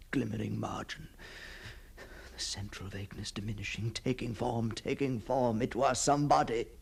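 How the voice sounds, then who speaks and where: An actor playing a haunted, obsessive gentleman in a gothic radio monologue, halting and theatrical, repeating his words with a husky, gravelly voice. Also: mid-range voice, full character voice. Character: haunted, obsessive gentleman confronting a ghostly double. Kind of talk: dramatic gothic monologue, radio drama acting.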